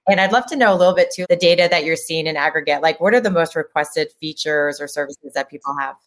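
Brief: female co-host, very low voice, direct and practical. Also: fast. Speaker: interview co-host. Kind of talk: casual interview question.

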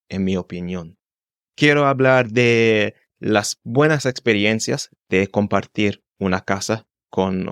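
A man's voice: on the low side, smooth, dry recording, clean studio sound. A man in his twenties hosting a solo podcast, speaking careful, unscripted learner's Spanish with small restarts. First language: English. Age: 20s. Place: home in London.

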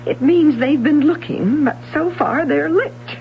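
Sharp-tongued anxious mother, high-voiced. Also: a little slow. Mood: bitter, pointed. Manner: sarcastic, pointed. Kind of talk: radio drama acting. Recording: muffled, noisy 1970s radio broadcast recording.

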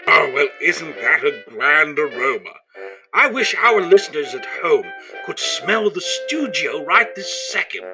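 An actor playing a jolly, pompous old-time English radio host, prim and flowery in a mannered comedy of manners. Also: male voice, on the high side, big pitch swings, measured pace, music in the background. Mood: chatty, pompous.

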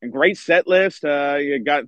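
Hoarse, chatty middle-aged man. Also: fairly high pitch, a little slow, dry recording, lo-fi sound. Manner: chatty, enthusiastic, many restarts. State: hoarse from screaming at a concert. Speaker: baseball writer and guest. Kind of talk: casual podcast chat. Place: outdoors by a river.

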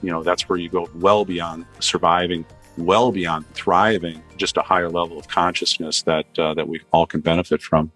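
A baritone-voiced man speaking as a podcast guest in an earnest, reflective way, often repeating phrases as he builds his points. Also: flat delivery, normal speed, a bit bright, faint music behind. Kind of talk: podcast interview, consulting talk.

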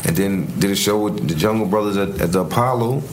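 A man's voice: on the low side, brisk. A man of forty with a New York accent, telling stories casually and in slang as an interview guest, with some swearing. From the Bronx, New York, United States. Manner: slangy, profane, anecdotal. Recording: echoey room, clearly noisy background.

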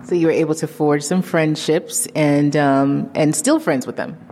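A woman's voice: deep voice, steady tone, moderate pace, mellow. A woman reporter interviewing people on location, prompting them and restating their answers. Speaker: community reporter. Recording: long reverb, faint music.

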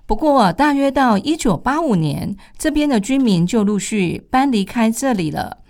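A woman's voice: a little high, animated, moderate pace, creaky, a little mellow. An older woman with a Taiwanese Mandarin accent presenting a radio program in a prepared, conversational style.

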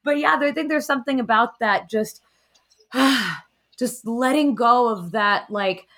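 A woman's voice: fairly high pitch, moderate pace, a bit bright. A middle-aged woman hosting a casual podcast conversation, telling stories and acting out inner thoughts as she goes.

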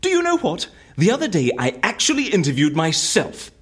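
A man playing an eccentric, excitable, childlike fussy fellow in an audio drama, with theatrical, exclamatory delivery. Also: sits high, a little fast, slightly rough, full character voice. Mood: fretful. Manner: theatrical, exclamatory, quaint turns of phrase.

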